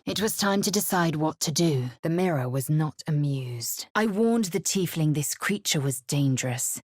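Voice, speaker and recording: A woman's voice: low-pitched, animated, normal speed, breathy edge, no echo, studio quality. An actress playing a proud, fierce alien warrior woman in a video game, with a clipped, formal and haughty delivery. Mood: grave, recounting. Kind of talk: video game character voice acting.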